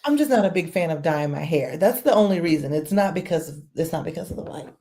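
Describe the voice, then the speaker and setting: Woman, podcast guest, gravelly voice, dark tone, measured, thoughtful. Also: low-pitched, animated, slight background noise. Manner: measured and thoughtful, with many fillers. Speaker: guest, political volunteer. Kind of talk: casual interview.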